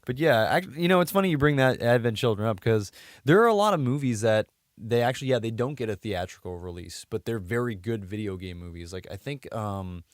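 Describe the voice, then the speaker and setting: A man's voice: on the low side, expressive, fairly quick, no echo, clean studio sound. A man co-hosting a podcast, chatting casually and conversationally about films. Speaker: podcast co-host. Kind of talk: casual chat about video game films.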